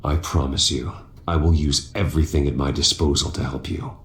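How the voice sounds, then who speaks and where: A man's voice: extremely low pitch, fairly even intonation, moderate pace. An actor playing an earnest, resolute wealthy man with a brooding side in a video game drama, restrained and sincere. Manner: restrained, sincere, quietly determined. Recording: roomy sound with faint music.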